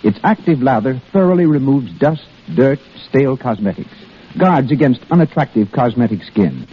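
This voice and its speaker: A man's voice: mid-range voice, expressive, moderate pace, breathy edge. A man with an American accent announcing and reading scripted advertising in 1930s radio style. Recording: muffled old radio broadcast.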